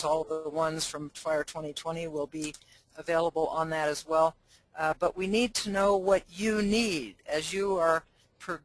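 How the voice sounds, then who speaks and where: A man's voice: fairly high pitch, fairly bright tone, poor audio. A man, a fire chief, presenting a webinar in a friendly, informal way with many fillers.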